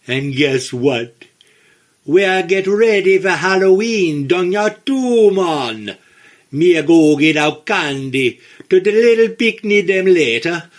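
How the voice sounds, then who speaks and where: A man voicing a laid-back male Jamaican caller, leaving a voicemail in a slow, easygoing patois-style drawl. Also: high voice. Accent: put-on Jamaican.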